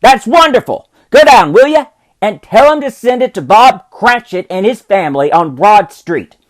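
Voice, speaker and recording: A man's voice: high-pitched, animated, normal speed, pitched above the performer's own voice. A man voicing an elderly miser who is overjoyed, giddy and cackling as he exclaims. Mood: gleeful.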